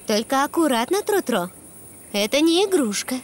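A woman voicing a caring, reassuring mother donkey in a dubbed children's cartoon, gentle and motherly. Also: sits high, big pitch swings, normal speed, slight background noise.

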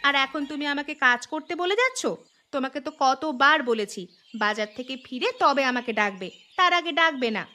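A woman playing a grumbling, sharp-tongued village wife in a cartoon. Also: high-pitched, lively intonation, normal speed. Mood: annoyed. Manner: scolding, complaining. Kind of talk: cartoon dialogue. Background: plucked string music.

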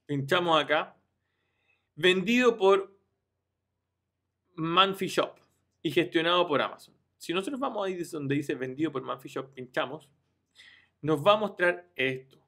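A man in his thirties walks viewers through a screen-share tutorial, his voice sitting a touch high for a man. He talks informally and directly to the audience, checks in with them often, and restarts his phrases as he goes. He speaks from a room at home into a webcam. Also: measured pace, long pauses, pushed and bright. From Chile. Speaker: online business youtuber.

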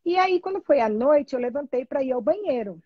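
A middle-aged Brazilian woman telling personal stories casually, in an animated, emphatic, chatty way over a video call. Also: high voice, a little fast, no echo. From Salvador, Brazil. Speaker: guest, therapy trainee telling her experience. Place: small room at home, indoors. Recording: dull, lo-fi video call audio.

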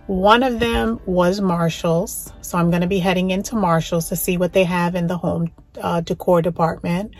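A middle-aged woman narrating a shop-with-me vlog about home decor, enthusiastic and gushing over finds, sometimes dropping to a soft whisper. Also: fairly low pitch, steady tone, moderate pace, soft background music. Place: in a car, then walking through large stores.

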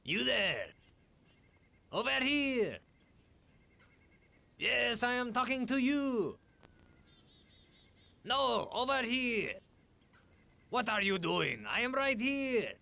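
A man's voice: very high-pitched, sing-song, drawn-out words, gravelly, long pauses, bright, forceful tone, exaggerated voice. A man playing a jovial, chatty talking snowdrift with an exaggerated French accent, theatrical and playful in a comic story. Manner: theatrical, playful, addresses listener directly.

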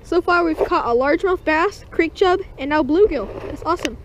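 An excited fishing vlogger narrating catches on a creek bank, calling out to the viewers as guys, recorded on a body-worn action camera. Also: very high-pitched, normal speed. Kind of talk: excited first-person fishing commentary. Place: outdoors at a small creek bank. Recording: dull lo-fi camera audio with faint noise.

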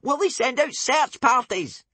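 A man's voice: extremely high pitch, lively intonation, measured pace, sharp tone, husky, no room sound, voice pushed up, exaggerated voice. A man voicing a nervous, pompous young male bodyguard in an audiobook, flapping, indignant and shakily boastful. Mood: desperate.

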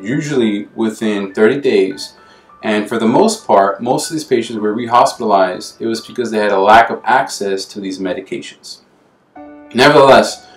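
A man in his thirties, a clinical pharmacy specialist, explaining in a measured way in an interview. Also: lowish voice. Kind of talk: interview answer. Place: office, indoors. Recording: roomy room with soft music bed.

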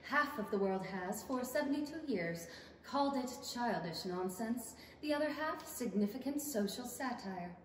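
A woman's voice: fairly high pitch, expressive, normal speed, close to an everyday voice. A woman playing an earnest, theatrical storyteller narrating a fairy story in a radio play. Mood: earnest, reflective. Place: theatre stage. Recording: echoey theatre hall from far off, faint noise.

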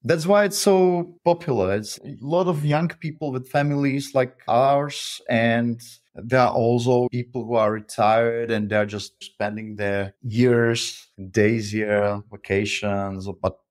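A man's voice: mid-range voice, fairly even intonation, slow pace, a little mellow. A man from the Republic speaking English, talkative and friendly as a guest on an interview podcast. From Czech Republic. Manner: friendly, talkative, with frequent uh fillers and restarts. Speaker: guest, author and freelancer. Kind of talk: interview conversation.

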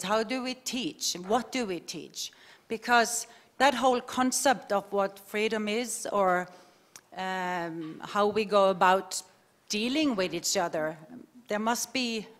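Woman from Norway, hesitant, reflective, audience comment. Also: mid-range voice. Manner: hesitant, searching for words, reflective. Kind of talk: comment from the floor. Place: large lecture hall.

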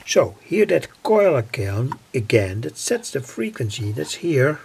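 A man explaining a homemade electronic circuit informally, in a halting, deliberate way with pauses. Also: medium pitch, sing-song, creaky, a little mellow, slight background noise. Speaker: electronics hobbyist presenter.

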